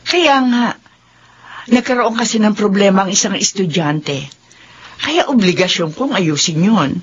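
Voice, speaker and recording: A woman's voice: medium pitch, animated, unhurried, slightly rough, highs cut off. A woman playing an earnest, dignified middle-aged school principal and mother in a radio drama, speaking politely and with measure. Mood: defensive.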